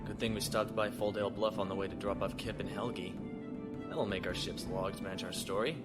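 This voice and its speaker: Male actor as steady shuttle pilot, understated, wry, science fiction radio play, clear background music. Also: on the low side, brisk, natural voice. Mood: relieved, sober. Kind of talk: science fiction audio drama acting. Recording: music behind the voice.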